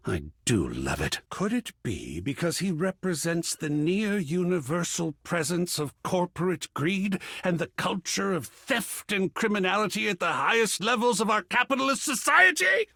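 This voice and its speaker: A man voicing an excitable, cultured art curator character in a video game, theatrical and erudite, with precise diction and a husky, breathy voice. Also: on the high side, dry recording, studio quality, full character voice. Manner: effusive, erudite, precisely enunciated, dramatic. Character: excitable, cultured art object come to life, gallery curator.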